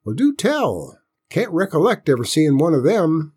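A man's voice: huge pitch swings, moderate pace, darkish tone, slightly rough, heavily acted. A man voicing a folksy, conspiratorial old country grandfather with a rural Southern US accent for a story. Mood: curious, teasing. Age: older. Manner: drawling folksy dialect, pitched up from the narration. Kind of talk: storybook character voice in narration.

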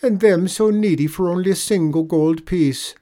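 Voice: mid-range voice, moderate pace, warm tone, pitched above the performer's own voice, close to an everyday voice. A performer voicing a wily little Irish leprechaun man in a storybook tale, coaxing with a mournful lilt. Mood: sly, sorrowful. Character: wily little leprechaun man spinning pitiful sob stories.

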